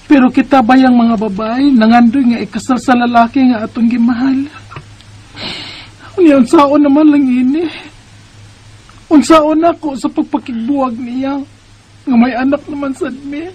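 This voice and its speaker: A woman's voice: sits high, normal speed, dark tone, husky. A woman with a Bisaya Filipino accent playing a distressed, plaintive young mother in a radio drama. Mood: distressed, pleading. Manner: plaintive, emotional. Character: distressed young mother, live-in partner of a married man. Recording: music behind the voice.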